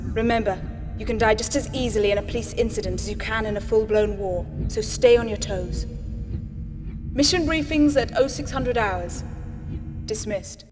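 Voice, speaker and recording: A woman playing a stern, authoritative police commander in a video game, briefing units in a firm, measured manner. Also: mid-range voice, close to an everyday voice. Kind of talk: formal military-style briefing. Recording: long hall-like reverb, music behind.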